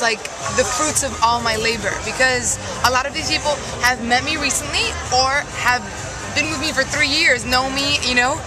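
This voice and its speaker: Chatty young woman over loud party music. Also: sits high, poor audio. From Colombia, raised in Miami. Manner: chatty, many fillers, laughs often. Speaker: musician guest. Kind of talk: casual interview. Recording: loud music and crowd noise. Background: loud music and crowd.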